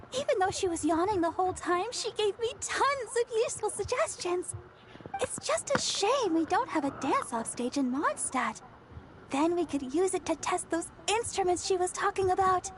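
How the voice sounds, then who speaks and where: A woman's voice: extremely high pitch, lively intonation, a little slow, noisy background. A woman voicing a sweet, earnest young church singer, girlish and eager, in a video game with music behind her. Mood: excited.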